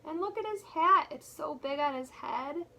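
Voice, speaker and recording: A woman reads a picture book aloud as a teacher would for young children. Her voice is somewhat bright with a touch of creak, and she goes slowly and expressively, adding plain explanations along the way. There is faint noise behind her. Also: high voice. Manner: expressive, deliberate reading with simple explanations for children. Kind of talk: read-aloud storytelling with explanatory asides.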